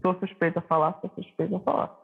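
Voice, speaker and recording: A man's voice: high voice, fairly even intonation, quick pace, gravelly, slightly rough. A man with a Pernambuco accent, a singer-songwriter guest talking casually and reflectively about his music, with lots of fillers. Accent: Pernambuco Portuguese. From Recife, Brazil. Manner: reflective, hesitant with many fillers. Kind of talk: casual interview chat. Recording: tinny phone-like line.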